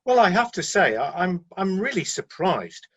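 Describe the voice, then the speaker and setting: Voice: medium pitch, big pitch swings, moderate pace, lo-fi sound, a little hiss or hum, natural voice. An actor playing a loyal, defensive celestial middle manager in a satirical radio play, breezily making corporate excuses and sounding mildly flustered. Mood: surprised.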